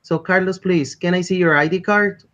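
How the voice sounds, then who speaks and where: A man conducting an English speaking exam over a video call, polite and measured, repeating his instructions. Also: high-pitched, fairly even intonation, a bit bright. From Colombia. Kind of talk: structured spoken exam interview. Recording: dull lo-fi call audio.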